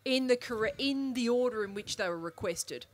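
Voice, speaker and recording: A woman's voice: fairly high pitch, animated, moderate pace. A woman moderating a festival panel in front of an audience, chatty and jokey, taking questions informally. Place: live event room with an audience.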